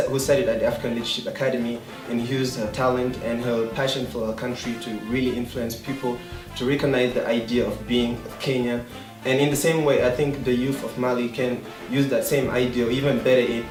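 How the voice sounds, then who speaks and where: A young Senegalese man makes an earnest case in a flat, even, mid-pitched voice. The room is very echoey, and faint music sits behind him. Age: young adult. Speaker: youth speaker. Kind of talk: earnest opinion statement.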